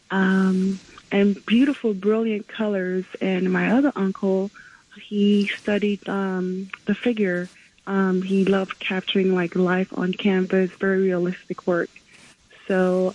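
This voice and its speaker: A woman's voice: mid-range voice, darkish tone. Hesitant woman guest on dull audio. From Haiti. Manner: hesitant, many ums. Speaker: guest artist. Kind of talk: interview answers.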